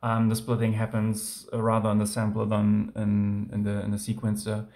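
A man's voice: fairly low pitch, monotone, normal speed, mellow. A man with a German accent, speaking English as a second language, casually walking through his track in a home music studio with many ums and restarts. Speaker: music producer.

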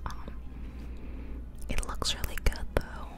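A woman speaks entirely in a soft, breathy whisper right up at the microphone. She chats in a gentle, informal ASMR style as host, musing her way through a video game with relaxed hesitation. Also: takes it slowly. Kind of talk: ASMR whispering, casual rambling commentary on a game. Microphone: close ear-to-ear ASMR microphone.

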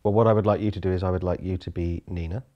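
Low-voiced man playing therapist. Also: fairly even intonation, very fast, muffled recording. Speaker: therapist in a comedy sketch. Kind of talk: improvised comedy dialogue. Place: small furnished room indoors.

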